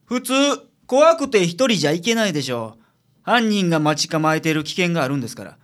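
A man's voice: fairly high pitch, very animated, quick pace, fairly bright tone. A man playing a shrewd, blunt, laid-back male private detective with dry, probing delivery in a radio drama.

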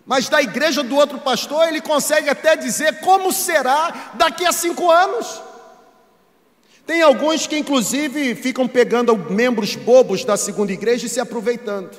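A Brazilian man delivers a sermon in a very high-pitched voice. He preaches emphatically, building rhetorical weight through repeated phrases. His voice rings with the long reverb of a large hall. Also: normal speed. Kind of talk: sermon to a congregation.

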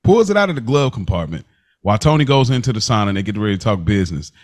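Low-pitched man in casual podcast chat. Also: big pitch swings, rapid-fire, a little mellow. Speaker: podcast host.

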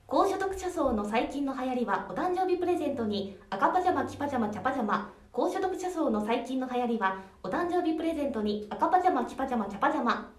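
A woman's voice: sits high, expressive, quick pace. A woman voice actor taking part in a casual game show, articulating carefully. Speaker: voice actor, contestant on a web show. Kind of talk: casual game-show chat and tongue-twister recitation. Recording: echoey room.

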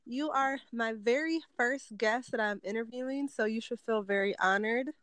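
A woman in her thirties hosts an interview in a chatty, upbeat way. Her voice sits a little high. It is recorded dry and close, with no room echo. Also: moderate pace, a bit bright. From Detroit, USA. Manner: chatty, upbeat, light laughs. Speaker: podcast host. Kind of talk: casual interview chat.

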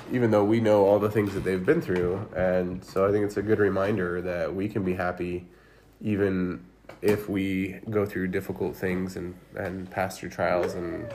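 Very deep-voiced man thinking aloud. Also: darkish tone, slight background noise. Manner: thinks aloud with fillers and restarts. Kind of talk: casual family scripture discussion.

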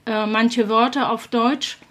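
Woman around sixty, Polish accent, trouble with R sounds, hesitant, searching for words, slightly high voice. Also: steady tone, takes it slowly, fairly bright tone. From Poland. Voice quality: cannot pronounce R, lip trill instead. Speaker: guest. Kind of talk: casual conversation.

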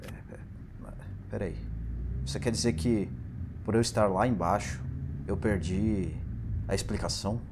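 An actor playing a distraught, nervous family man in an audio drama, pleading and stammering in a halting way. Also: lowish voice. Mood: confused, nervous. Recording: clearly noisy ambience under voice.

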